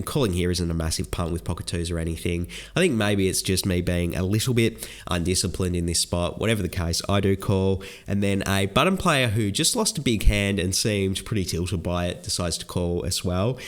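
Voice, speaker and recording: A man's voice: low-pitched, normal speed, hardly any pauses. A young man with a cold and a nasal voice, narrating his poker hands in a chatty, upbeat way and talking through his reasoning. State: has a cold. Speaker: poker vlogger. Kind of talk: casual hand-by-hand poker narration.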